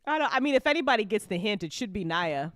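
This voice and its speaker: Clear, smooth-voiced woman chatting casually. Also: medium pitch, sing-song, quick pace, dry recording. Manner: rambling, giggly, informal with swearing. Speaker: audio drama creator hosting a live after-show chat. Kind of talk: casual chat.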